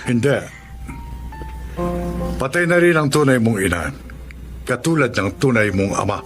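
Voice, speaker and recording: A man's voice: medium pitch, lively intonation, unhurried, over music, natural voice. An actor in a Filipino radio drama playing a courteous, earnest and discreet male lawyer. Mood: grave, measured.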